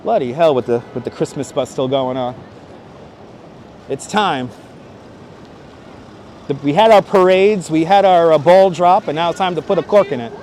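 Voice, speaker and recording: A man's voice: a little high, moderate pace, long pauses, a little mellow. A man hosting a casual livestream outdoors, chatting playfully and exclaiming to his viewers as he goes. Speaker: livestream host. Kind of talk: casual livestream chat while exploring city streets. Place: outdoors on busy Manhattan streets. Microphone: wireless clip-on microphone. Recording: outdoor city street noise.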